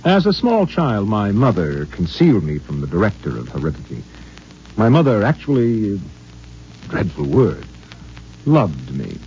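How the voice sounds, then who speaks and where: An actor playing a sardonic, well-educated outcast in a 1950s radio drama, speaking in a wry, articulate, politely mocking way. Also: male voice, on the low side, huge pitch swings, measured pace, darkish tone. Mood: bitterly ironic. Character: sardonic, well-educated genetically imperfect outcast man, a lab specimen. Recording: dull, noisy old radio recording.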